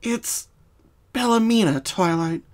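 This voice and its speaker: A woman voicing a sorrowful young female cartoon pony in a fan story, speaking softly and solemnly with hesitations. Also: mid-range voice, voice pushed up, close to an everyday voice. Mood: pained, earnest. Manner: soft, solemn, halting. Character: sad young female pony confessing her hidden identity.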